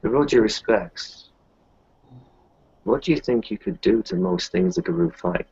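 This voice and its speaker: Deep, gravelly, husky man in roleplay. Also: animated, measured pace, darkish tone. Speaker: tabletop roleplaying game player. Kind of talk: casual in-character roleplay. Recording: dull, lo-fi voice recording.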